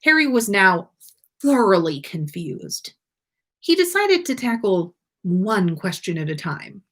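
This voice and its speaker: Woman reading a story, slow and lively. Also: mid-range voice. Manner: expressive storytelling. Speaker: fan fiction reader on a podcast livestream. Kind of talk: scripted narration, read-aloud story.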